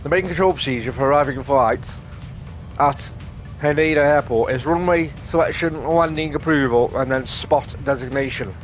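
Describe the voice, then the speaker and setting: A man's voice: medium pitch, moderate pace, low-quality recording. A man hosting a gaming video, casually explaining play and reading tutorial text aloud in a rambling, explanatory way. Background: light game music.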